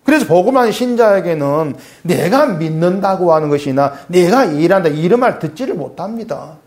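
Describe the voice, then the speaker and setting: Korean pastor preaching, rising and falling. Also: male voice, lively intonation, moderate pace. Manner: conversational preaching, poses questions to the congregation; swings between raised emphatic lines and quiet low asides. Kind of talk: sermon.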